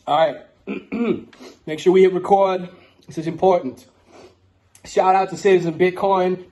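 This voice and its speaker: A man's voice: high-pitched, darkish tone. A man playing a brash, streetwise, foul-mouthed Bronx guy with a New York accent. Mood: brash, grumbling. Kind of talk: casual ranting and mock-solemn declaration reading.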